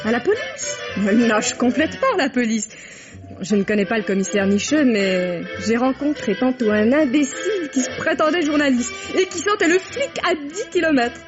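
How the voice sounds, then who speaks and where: High, swooping, brazen young woman. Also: big pitch swings, normal speed. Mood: scornful. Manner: mocking, imperious, dramatic. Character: brazen, capricious, passionate rich young woman. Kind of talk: theatrical vintage French radio drama acting. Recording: dull vintage radio recording with music.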